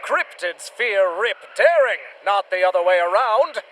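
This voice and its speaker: A man's voice: high-pitched, expressive, measured pace, a bit bright, slight background noise. A man playing a boastful, pompous big-game hunter in a radio play. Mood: defiant. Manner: pompous, theatrical, self-praising.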